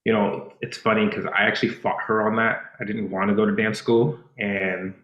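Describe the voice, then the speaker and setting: Guest, male, deep voice, Spanish-speaking upbringing, thoughtful, lots of ums, roomy echo. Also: flat delivery, normal speed, dull audio. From Dominican. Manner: reflective, many fillers and restarts. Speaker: dancer and choreographer, podcast guest. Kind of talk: casual interview chat.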